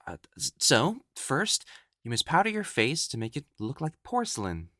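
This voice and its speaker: A man's voice: medium pitch, huge pitch swings, normal speed, sharp tone, no echo, clean studio sound. A man voicing an elegant, effeminate male matchmaker in a scripted audio roleplay, prim and formal, haughty yet easily flustered. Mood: flustered, sharp.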